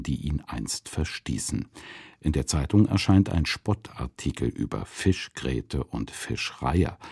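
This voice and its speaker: A man narrating a radio drama in a measured, literary storytelling manner. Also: a bass voice, darkish tone, husky, no room sound, studio quality.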